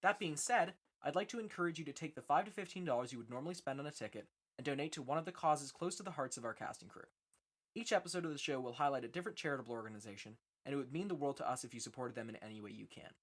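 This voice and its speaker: A man's voice: medium pitch, animated, rapid-fire. A man reading a scripted introduction as presenter, formal and earnest. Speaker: presenter introducing a radio play. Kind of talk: scripted announcement.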